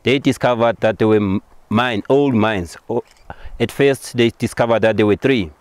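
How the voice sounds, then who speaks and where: Swazi guy doing a guided tour, siswati native accent, lowish smooth voice, dry sound, explains stuff simply. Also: moderate pace, darkish tone, no echo. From Eswatini.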